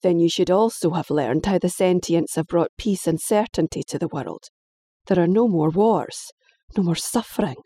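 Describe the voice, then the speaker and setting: A woman's voice: fairly low pitch, normal speed, mellow, husky, no echo, clean studio sound. A woman voicing a stern, weary middle-aged father, lecturing patiently but firmly.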